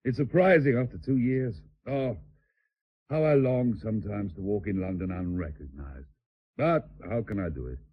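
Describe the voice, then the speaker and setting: An actor playing an affectionate, vain, war-weary admiral, courtly and hesitant, in a radio drama. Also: male voice, on the low side, animated, moderate pace, breathy edge, muffled recording. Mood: weary, rueful. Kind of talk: British stage-play radio acting.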